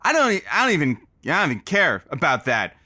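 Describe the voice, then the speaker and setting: An actor playing an insecure, awkward radio host in an audio comedy, hesitant and easily exasperated. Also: male voice, a little high, wildly swooping pitch, quick pace, sharp tone, dry recording, clean studio sound, exaggerated voice. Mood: flustered. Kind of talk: audio comedy drama acting.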